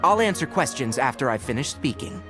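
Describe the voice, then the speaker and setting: A man voicing a gentle, scholarly young naturalist in an anime game, precise and dryly didactic. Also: mid-range voice, very animated, fairly quick, over music, lightly acted. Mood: composed, dry.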